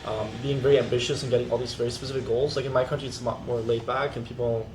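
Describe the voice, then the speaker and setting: A young man from the Netherlands sharing his experience on a student panel, quick and full of fillers. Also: medium pitch, little pitch movement, far from the mic, noisy background. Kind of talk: casual panel discussion. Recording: echoey room, off-mic.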